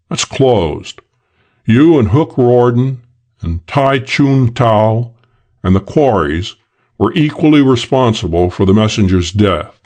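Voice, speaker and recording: A man's voice: fairly low pitch, darkish tone, exaggerated voice. A man voicing a stern, curt middle-aged detective in a crime audiobook, blunt and commanding.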